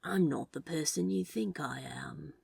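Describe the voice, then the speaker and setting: A woman's voice: sits low, unhurried, vocal fry, a little mellow, husky, no room sound, clean studio sound, full character voice. A woman voicing a sly, laid-back male office worker who plays at being a spy, with a drawling, hesitant, conspiratorial manner. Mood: secretive.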